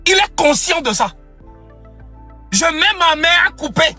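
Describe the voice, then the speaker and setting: A man's voice: very high-pitched, animated, measured pace, sharp tone, soft background music. A young man giving an impassioned political speech, repeating phrases for emphasis. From Togo. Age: young adult. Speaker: activist.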